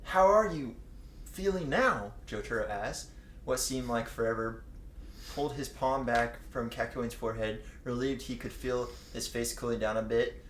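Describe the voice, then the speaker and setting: Young man, medium pitch, expressive, teasing, playfully theatrical, comedic read-aloud, roomy echo, noisy background. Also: normal speed. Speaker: co-host reading fan fiction aloud. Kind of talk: jokey read-aloud with banter.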